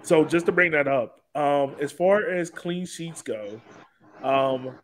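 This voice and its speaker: A man's voice: fairly high pitch, slow, a little hiss or hum. A man hosting a casual sports talk show, chatting in an informal, joking way. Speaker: podcast host.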